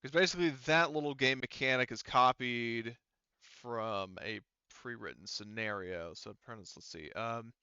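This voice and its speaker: A man running a tabletop roleplaying game as game master, talking haltingly and thinking aloud as he works through the rules. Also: medium pitch, bright, forceful tone, clean tone, no echo, highs cut off.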